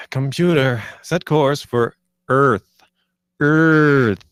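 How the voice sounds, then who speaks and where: A man's voice: mid-range voice, extremely slow, a bit bright, smooth, dry recording, studio quality. A man playing a grumpy, exasperated veteran spaceship captain in a comedy table read. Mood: commanding. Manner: exasperated, sighing.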